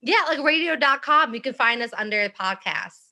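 A young woman from South Jersey, a comedian, chatting on a podcast. Her voice is bright and sits a little high. She tells animated stories and laughs often. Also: moderate pace. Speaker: comedian and radio co-host. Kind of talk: casual podcast chat.

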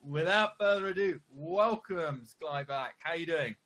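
A man's voice: fairly high pitch, a little slow, fairly bright tone. Polite, upbeat male podcast host. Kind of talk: podcast interview hosting.

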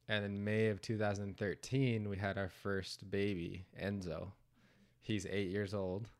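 A man's voice: fairly low pitch, smooth. A man in his thirties, congested today, chatting casually as a podcast guest and laughing often. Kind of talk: casual chat.

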